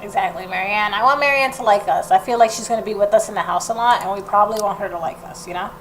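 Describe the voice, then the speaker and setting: A woman commentating on a story game in a mid-pitched voice. She reads the text aloud and adds informal reactions, with clear noise behind her. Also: fast talker, a bit bright, low-quality recording. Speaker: gaming video host.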